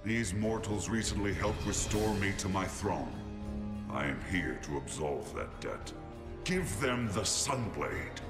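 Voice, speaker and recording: A stern old underworld god, booming hall reverb, loud music under him. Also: male voice, expressive, a little slow. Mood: commanding. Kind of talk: video game cutscene acting.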